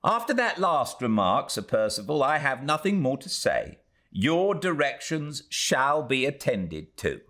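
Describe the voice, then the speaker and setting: A man's voice: mid-range voice, sing-song, unhurried, a bit bright, voice pushed up. A man voicing a dignified, easily affronted Victorian woman housekeeper with a British accent, formal and stiffly deferential, in an audiobook. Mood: stiffly offended. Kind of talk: audiobook character voice.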